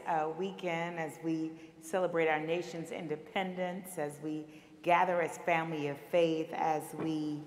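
A middle-aged woman pastor with a slightly raspy, hoarse voice, speaking to her congregation from the pulpit. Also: sits low, slight background noise. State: recovering from illness, hoarse. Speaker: senior minister. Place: large church sanctuary, at the pulpit. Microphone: pulpit microphone. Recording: long church reverb.

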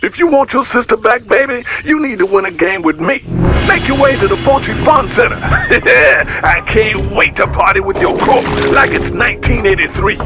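High, robotic funky zombie villain. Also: male voice, expressive, moderate pace, over loud music. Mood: sleazy, gloating. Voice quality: synthetic, electronically processed. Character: sleazy funky zombie villain, taunting party animal. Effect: robotic voice processing. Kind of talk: flamboyant video game villain acting.

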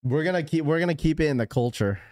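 A man's voice: fairly high pitch, expressive, quick pace, darkish tone, no echo. A young man hosting a sneaker livestream from a small room with a close mic, joking loosely, laughing often and mimicking voices. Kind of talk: casual live stream chat. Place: small room with blue lighting, indoors. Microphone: studio mic on a boom arm, close.

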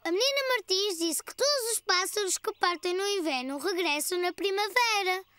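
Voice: very high voice, expressive, moderate pace, pushed and bright, no room sound, heavily acted. An actor voicing a cheerful, curious four-year-old boy in a children's cartoon, with a European Portuguese accent. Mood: curious, eager. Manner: eager, wide-eyed, childlike. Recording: music behind the voice.

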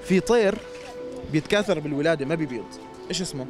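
A man's voice: fairly high pitch, expressive, music in the background. A young man with a Syrian Arabic accent hosting a cheerful street quiz interview outdoors at a scrapyard, talking into a handheld mic. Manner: fast, cheerful, teasing.

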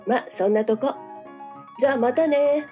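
A woman's voice: high voice, moderate pace, dark tone, close to an everyday voice. An actress playing a cool, teasing, self-assured senior businesswoman in a voice drama. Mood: playful, evasive. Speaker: voice actor. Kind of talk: voice drama dialogue. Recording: music behind the voice.

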